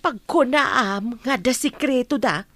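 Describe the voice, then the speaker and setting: A woman's voice: a little high, takes it slowly, a bit bright, no echo, exaggerated voice. A woman playing a cautious, questioning village mother in a radio drama. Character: wary village mother advising her family. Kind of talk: Ilocano radio drama acting.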